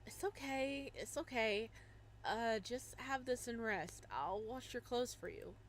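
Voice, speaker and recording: A woman voicing a gentle young male herbalist who stammers when nervous. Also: on the high side, measured pace, a little hiss or hum, lightly acted. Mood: soothing.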